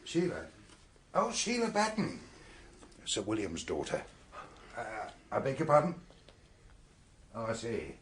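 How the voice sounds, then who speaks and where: An actor playing a sceptical British chief superintendent in a radio drama, speaking in a brisk, questioning, official way. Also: male voice, mid-range voice, wildly swooping pitch, slight background noise. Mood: official, surprised. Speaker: police superintendent character. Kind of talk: BBC radio drama acting.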